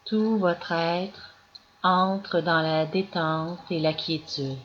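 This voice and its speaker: Female meditation guide, calm scripted delivery with long pauses, on a dull lo-fi recording with noticeable background noise. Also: fairly low pitch. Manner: slow, calm, measured instructions with long pauses. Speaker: yoga nidra guide.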